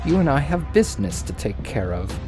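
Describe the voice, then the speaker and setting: A man's voice: mid-range voice, very animated, brisk, over music, voice pushed up, natural voice. A man voicing a petulant, teasing, all-powerful male trickster being in a story. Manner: petulant, mocking.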